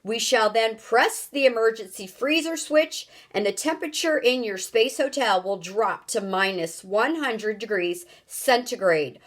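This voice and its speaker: A woman reading aloud, voicing an angry, urgent man who booms orders over a loudspeaker. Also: lively intonation, slow pace, full character voice. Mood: threatening. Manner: commanding, urgent, shouting. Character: booming, angry male voice of space control.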